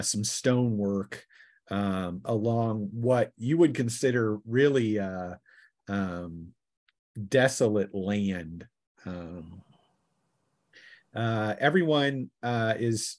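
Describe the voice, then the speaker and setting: A man with a fairly low voice acts as game master for a tabletop roleplaying session. He improvises the narration as he goes. His delivery is halting, with pauses as he searches for the next detail. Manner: halting, trailing pauses while improvising descriptions.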